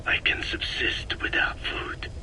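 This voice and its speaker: An actor playing an elderly, solemn Navajo sage in a video game, speaking formally in a hushed, breathy rasp. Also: male voice, a little hiss or hum, rough recording. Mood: calm, earnest. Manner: slow, grave, measured, formal phrasing. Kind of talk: video game voice acting, philosophical monologue.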